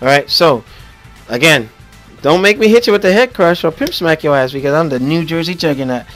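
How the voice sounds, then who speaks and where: A man's voice: on the high side, animated, fairly quick, a bit bright, faint music behind. A young man with a Haitian accent hosting a casual gaming podcast, joking and rambling with lots of restarts. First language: Haitian Creole or French. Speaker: podcast host.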